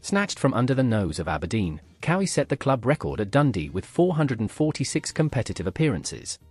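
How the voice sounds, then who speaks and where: A man narrating a scripted football history piece in a measured way, letting each sentence fall at its end. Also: mid-range voice, big pitch swings, husky, dry recording, faint music behind.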